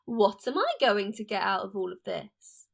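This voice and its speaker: A woman's voice: medium pitch, expressive, normal speed, gravelly. A woman playing a vain, melodramatic, flirtatious glamour queen with an affected, theatrical manner in a radio play. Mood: smug, coy. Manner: theatrical, affected, gasping and shrieking.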